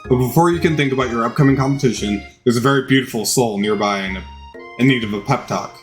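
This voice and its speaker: A man's voice: medium pitch, fast, soft background music. A man reading game text aloud as a dramatized narration during a playthrough.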